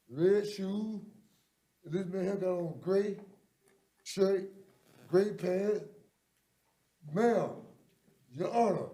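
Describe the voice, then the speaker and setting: A man's voice: sits high, dark tone. A man in his seventies, a defendant pleading with a judge at a bond hearing, rambling and repeating himself with many a "ma'am". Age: 70s.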